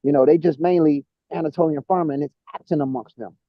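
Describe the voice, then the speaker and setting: A man's voice: fairly high pitch, steady tone, fast talker, dry recording, lo-fi sound. A man co-hosting a casual podcast talk, eagerly working through genetic ancestry terms with many restarts.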